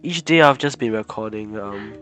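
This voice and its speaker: A man's voice: lowish voice, animated, normal speed, fairly bright tone. A man streaming a video game, giving informal, rambling live commentary in a casual, chatty way. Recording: game music behind the voice.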